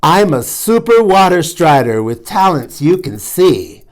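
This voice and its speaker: A man's voice: a little high, sing-song, a little slow. A man voicing a showy, jaunty water strider who boasts in rhyme. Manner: rhyming, showy.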